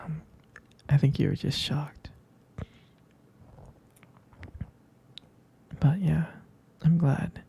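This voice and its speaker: A man playing a tender, doting boyfriend in an ASMR roleplay, murmuring slowly and affectionately in a breathy, husky whisper. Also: on the high side, level tone, warm tone, slight background noise. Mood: soft, teasing. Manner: slow, hesitant, affectionate murmuring with pet names. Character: tender, doting vampire-romance boyfriend soothing partner to sleep.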